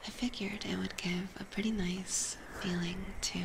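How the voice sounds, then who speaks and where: A woman streamer whispering softly and gently in a casual ASMR chat with viewers, close on a binaural microphone. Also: mid-range voice, fairly even intonation, moderate pace, vocal fry, noisy background. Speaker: streamer hosting a live ASMR roleplay stream.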